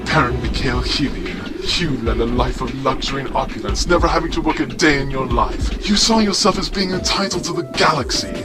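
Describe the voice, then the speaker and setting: A man's voice: medium pitch, a little fast, few pauses, natural voice. A man playing a mysterious, scheming villain with grandiose, theatrical delivery in a comic sci-fi audio drama. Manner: portentous, theatrical. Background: prominent music.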